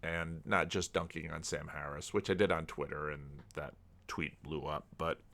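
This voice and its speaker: A man giving casual, unscripted commentary in a rambling, halting way, often restarting his sentences. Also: rumbling low, fairly even intonation, vocal fry, a bit bright. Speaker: YouTube commentator and pastor.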